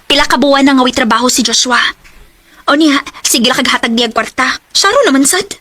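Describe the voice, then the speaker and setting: A woman's voice: high-pitched, brisk, bright, forceful tone, dry recording. A woman playing a frank, sceptical young best friend in a radio drama, with a Cebuano accent. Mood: nagging, sceptical.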